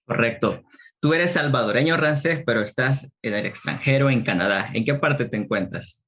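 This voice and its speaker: Male podcast host, gravelly voice, formal read then interview. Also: mid-range voice, normal speed, a bit bright. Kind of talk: reading an introduction, then interviewing.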